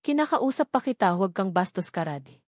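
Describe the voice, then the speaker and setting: A woman's voice: mid-range voice, sing-song, fast, dry recording, studio quality. A woman voicing a haughty young female rival, affected and scornful, in a romance drama narration. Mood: angry.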